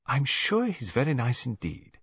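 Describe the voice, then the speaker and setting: A man voicing a kindly elderly English grandmother, a chatty, gently scheming matchmaker in an audiobook story. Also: mid-range voice, huge pitch swings, measured pace, breathy edge, no room sound, muffled recording, pitched above the performer's own voice, heavily acted. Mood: gently teasing. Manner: gentle, chatty, reasonable, fond.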